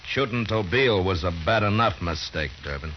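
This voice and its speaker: An actor playing a stern, middle-aged frontier marshal in an old radio western, speaking in a terse, measured, authoritative way. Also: male voice, lowish voice, lively intonation, close to an everyday voice. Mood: commanding. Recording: noisy, dull old radio drama recording.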